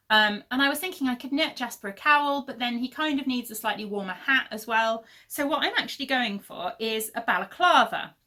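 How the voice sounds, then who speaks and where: Chatty woman with a bright voice. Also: medium pitch. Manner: chatty, rambling, frequent "um" fillers. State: losing her voice. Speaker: podcast host. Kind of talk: casual knitting podcast chat. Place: at home, indoors.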